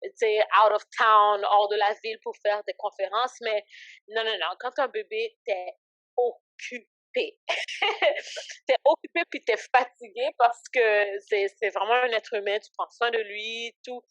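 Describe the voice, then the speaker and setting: A woman's voice: on the high side, a little slow, fairly bright tone, dry recording. A woman in her late twenties, born in Haiti and raised in Canada, chatting as an interview guest, hesitant with many fillers and laughing easily. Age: 20s. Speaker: guest, ministry founder. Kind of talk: casual interview chat. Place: Ottawa.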